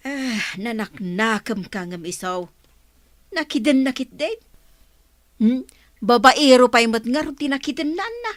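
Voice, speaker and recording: A woman's voice: on the high side, lively intonation, unhurried, pauses often, dry recording, studio quality. A woman acting a gossipy, insistent older village woman in an Ilocano radio drama. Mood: gossiping, knowing.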